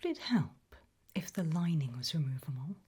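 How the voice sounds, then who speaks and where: Low, breathy whispering woman doing ASMR. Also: very animated. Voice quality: soft, breathy unvoiced whisper. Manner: polite, measured, formal questioning. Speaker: ASMR roleplay performer as a tailor.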